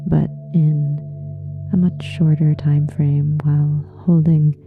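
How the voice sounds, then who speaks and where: A woman leading a guided relaxation meditation in a soft, breathy, hushed and soothing way, leaving long pauses. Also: sits low, flat delivery, phone-quality sound. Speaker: yoga nidra meditation guide. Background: soft meditation music with singing bowls.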